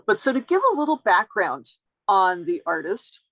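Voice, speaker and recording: A woman's voice: mid-range voice, big pitch swings, normal speed, a bit bright, no echo. A woman giving a careful, explanatory academic talk as a guest lecturer over a video call.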